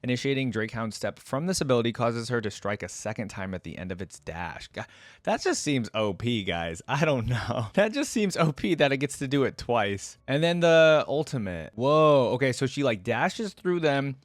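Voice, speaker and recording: A man's voice: mid-range voice, animated, normal speed, dry recording, clean studio sound. A man hosting a reaction video, giving informal, chatty commentary and thinking aloud as he goes. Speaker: YouTube reaction host.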